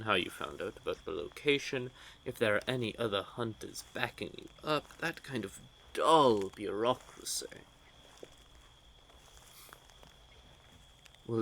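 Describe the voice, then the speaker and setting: Slow, teasing low-voiced vampire played by a man. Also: vocal fry, fairly bright tone, slight background noise. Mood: smug flirting. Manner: teasing, drawling, sardonic. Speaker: voice actor in an audio roleplay. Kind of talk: intimate scripted audio roleplay addressed to the listener. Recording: added ambient sound effects behind voice.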